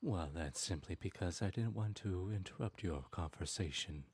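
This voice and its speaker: A man voicing a sly male trickster god in an ASMR roleplay, speaking calmly. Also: low voice, moderate pace, husky, no room sound, exaggerated voice.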